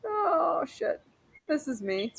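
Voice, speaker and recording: A woman physician from New York state, hosting a casual livestream over a video call, chatty and rambling with quick bursts of excitement. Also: high voice, creaky. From New York state, USA.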